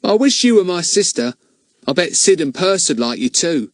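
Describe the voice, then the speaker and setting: A man's voice: fairly high pitch, very animated, normal speed, dry recording, pitched above the performer's own voice. A man voicing a simple, earnest boy who is hopeful and proud, in a storybook reading.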